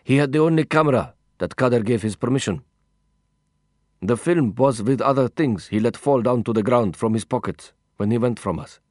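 A man voicing an earnest, solicitous male war comrade who speaks in halting, non-native phrasing in an audiobook story. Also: mid-range voice, a little mellow, no echo, studio quality, natural voice. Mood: gentle, concerned. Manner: halting foreign-learner phrasing.

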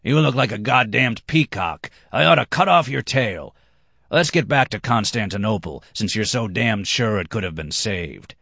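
A man's voice: fairly low pitch, lively intonation, moderate pace, hardly any pauses, a bit bright, dry recording, studio quality, pitched above the performer's own voice. A man voicing a drunken, boastful, hot-tempered red-haired banker.

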